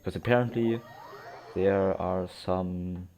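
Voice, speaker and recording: A man's voice: sits low, steady tone, slow pace, a little mellow. A man giving casual, rambling gameplay commentary with a sardonic manner. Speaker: let's player. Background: game music.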